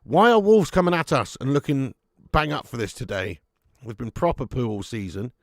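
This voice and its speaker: A man hosting a live fan watch-along of a football match at home, chatting to viewers with sweary banter and bursting into loud cheering at chances. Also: mid-range voice, expressive, normal speed, no room sound, clean studio sound. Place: at home in front of a camera.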